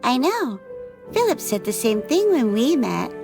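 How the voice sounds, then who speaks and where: A woman's voice: high voice, very animated, a little slow, vocal fry, over music, exaggerated voice. A woman playing a bloodthirsty fighter who taunts with playful menace, and narrates. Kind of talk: audio drama acting and narration.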